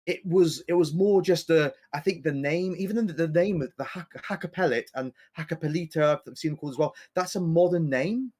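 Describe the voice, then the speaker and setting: Guy rambling on a history podcast, bit higher voice, fixes himself mid sentence. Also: a little fast, few pauses, a little mellow. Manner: rambling, self-correcting, playful. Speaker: podcast co-host. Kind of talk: casual history podcast chat.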